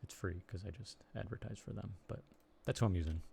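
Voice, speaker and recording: Young man, Chicago accent, low mellow voice, rambling live-stream chat. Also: vocal fry, husky. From Chicago area, United States. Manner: rambling, halting, lots of ums and restarts. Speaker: streamer and blogger.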